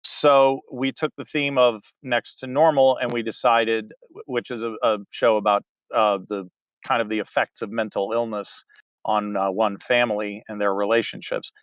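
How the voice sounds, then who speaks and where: A middle-aged man giving a rambling, halting interview answer, full of fillers and restarts. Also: fairly low pitch, level tone, clean tone, no room sound, rough recording. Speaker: interview guest, theater director. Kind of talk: casual interview talk.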